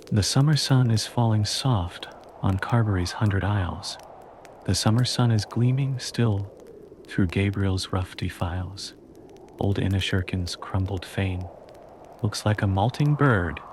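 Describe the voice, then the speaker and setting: Guy narrating sleepy history, slow and even, lowish voice, a bit of faint hiss.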